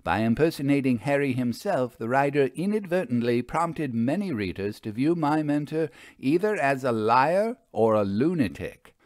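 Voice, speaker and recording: A man's voice: medium pitch, lively intonation, normal speed, few pauses, a little mellow, dry recording, pitched above the performer's own voice, lightly acted. A man voicing a spirited, opinionated older woman who investigates ghosts, speaking with theatrical emphasis in a story reading. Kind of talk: audiobook character dialogue.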